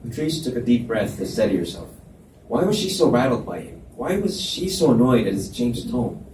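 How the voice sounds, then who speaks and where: A man's voice: medium pitch, sing-song, fairly quick, a little mellow. A man reading scripted romance fiction aloud as the narrator at a live book reading. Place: library event space, indoors. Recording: echoey room, distant mic, faint noise.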